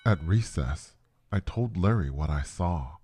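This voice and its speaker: Male narrator for dramatic first-person fiction. Lowish register, unhurried delivery, very varied intonation. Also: lively intonation, background noise.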